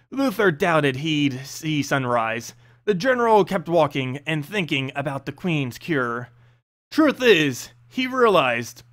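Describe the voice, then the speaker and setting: A man narrating a fantasy story in an expressive, dramatic storyteller's manner. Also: on the high side. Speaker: narrator reading game lore.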